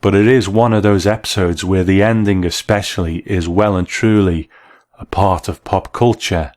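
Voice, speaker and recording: A man's voice: low-pitched, a little mellow. A man hosting a scripted video essay, reviewing in an enthusiastic, explanatory manner. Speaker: host and reviewer.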